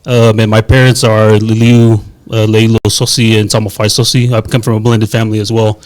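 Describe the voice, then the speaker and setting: A man appearing as a guest dancer on a talk show, introducing himself in a steady, plain-spoken way. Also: lowish voice, fairly quick. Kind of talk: casual interview.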